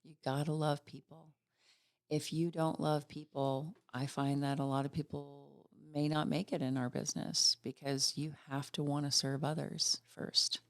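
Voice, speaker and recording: Middle-aged woman, podcast guest, positive and sincere, light gravel in the voice. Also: low-pitched, level tone, moderate pace. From Virginia. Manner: warm, upbeat, heartfelt. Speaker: financial executive guest. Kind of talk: casual interview chat.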